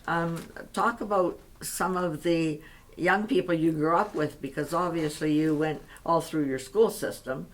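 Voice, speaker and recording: An interviewer with a mid-pitched voice who asks gentle questions. Short acknowledgements keep an older guest talking about his memories. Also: a little slow, poor audio. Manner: brief encouraging prompts. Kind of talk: oral history interview.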